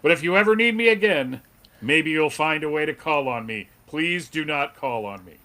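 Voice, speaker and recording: Man playing an earnest, bright-voiced superhero. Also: a little high, lively intonation, moderate pace. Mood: polite, bemused. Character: earnest, noble superhero man, mildly exasperated. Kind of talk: dramatic comic book table read.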